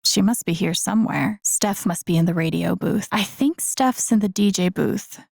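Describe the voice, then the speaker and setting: A woman playing a thoughtful, wry young game protagonist, musing to herself in low-key introspective lines. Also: moderate pace, vocal fry, darkish tone, no echo, clean studio sound. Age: young adult.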